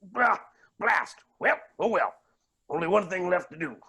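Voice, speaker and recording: A manic billionaire villain speaks in a high, creaky voice that swoops theatrically from line to line. He is pompous and gleefully cruel, with a forceful, raspy edge, as heard in a staged reading. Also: lively intonation, measured pace, heavily acted. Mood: exasperated. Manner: pompous, melodramatic, swooping exclamations.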